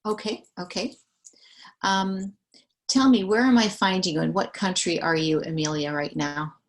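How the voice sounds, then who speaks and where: Woman interviewer, lower register, methodical and patient, careful structured delivery. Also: moderate pace. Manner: calm, methodical, reads questions and summaries back. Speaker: survey interviewer.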